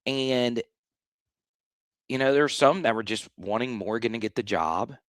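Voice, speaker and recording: A man with a slightly hoarse voice hosting a live sports talk stream, blunt and animated, often restarting his sentences. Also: medium pitch, measured pace, dry recording, clean studio sound. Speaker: sports show host.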